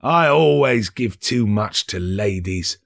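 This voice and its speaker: Man acting a sly old dealer, husky drawl. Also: fairly low pitch, animated, slow, heavily acted. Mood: grudging, haggling. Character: grasping old male dealer in stolen goods, sly.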